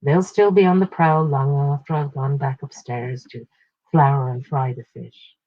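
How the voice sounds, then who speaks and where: Woman, husky voice, story narration, measured expressive phrasing, dry room, muffled low-fidelity recording. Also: no room sound. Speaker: short story reader. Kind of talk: literary short story narration.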